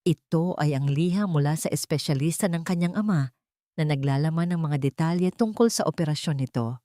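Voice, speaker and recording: A woman's voice: deep voice, brisk, dark tone, no echo, clean studio sound. A woman reading a scripted, dramatic love story as its narrator.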